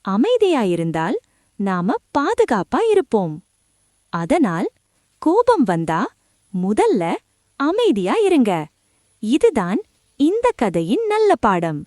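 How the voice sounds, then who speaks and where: A woman narrating a scripted children's story in short, deliberate phrases. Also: fairly high pitch, wildly swooping pitch, a little mellow, no echo, studio quality.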